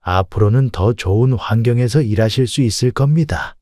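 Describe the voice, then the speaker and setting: A man's voice: medium pitch, normal speed, warm tone, no echo, studio quality, lightly acted. A man playing a firm, principled company president, speaking formally and with authority in audiobook story dialogue. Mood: encouraging.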